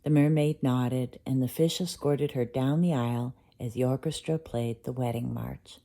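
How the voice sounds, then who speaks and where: A woman reads a children's bedtime story aloud. Her voice is low and soft-edged, mellow in tone, with an expressive storytelling style. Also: normal speed. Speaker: storybook reader.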